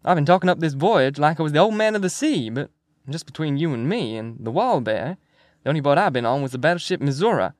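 A man's voice: on the high side, lively intonation, fast talker, no echo, clean studio sound, lightly acted. A man voicing a brash, cajoling ringleader in an audiobook, hearty and pushy with colloquial talk. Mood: hearty persuasion. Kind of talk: acted audiobook character dialogue.

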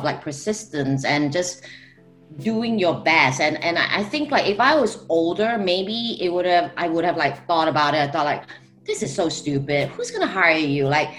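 Low-pitched woman from singapore, music exec on a panel, loose filler-heavy chat. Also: fairly bright tone, low-quality recording. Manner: chatty, filler-heavy with "like" and "you know". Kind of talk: casual panel talk.

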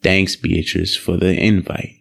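Creaky, low-voiced placating husband played by an actor. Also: male voice, little pitch movement, unhurried, a bit bright, heavily acted. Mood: attentive. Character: smooth-talking, placating husband and farm worker. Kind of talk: audio drama acting.

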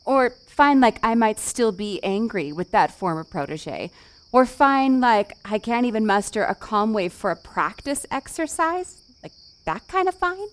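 A woman's voice: a little high, sing-song, measured pace. A woman acting in a fiction podcast as a cocky, playful and fiercely supportive wife, with a casual, emphatic, teasing delivery. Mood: exasperated, worried.

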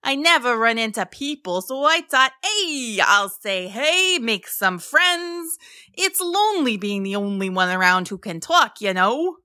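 A woman voicing a huge, friendly, laid-back male mosasaur with breezy, folksy slang and drawn-out words, in a children's story. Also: a little high, big pitch swings, bright, forceful tone, smooth, dry recording, studio quality, exaggerated voice. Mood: chatty, amiable.

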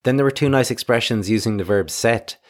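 A man hosting an English lesson, clearly explaining vocabulary and phrasal verbs with light personal asides. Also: mid-range voice, a little fast. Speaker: English teacher and host.

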